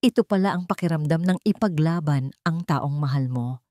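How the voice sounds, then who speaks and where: A woman narrates a dramatic love story from a script. Her voice sits low, and the sound is dry and close, with no room around it. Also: moderate pace, mellow, no echo.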